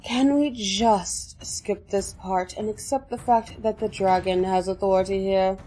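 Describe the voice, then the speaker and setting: A woman's voice: mid-range voice, fairly even intonation, a little slow, warm tone, slight background noise, natural voice. A woman playing a dry, unimpressed and impatient tribunal member in a fantasy audio drama.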